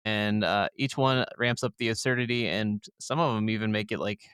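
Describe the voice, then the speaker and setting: A man's voice: on the low side, normal speed, a bit bright, smooth, no room sound, studio quality. A man co-hosting a gaming podcast in a casual, informal, agreeable way. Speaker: podcast co-host.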